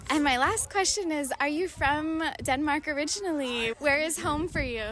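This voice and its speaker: A woman's voice: high voice, moderate pace, noisy background. A woman podcast host interviewing outdoors, speaking in an appreciative, gushing way. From Hawaii. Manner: gushing, appreciative, laughs often. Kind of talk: warm interview chat. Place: outdoors by a city river.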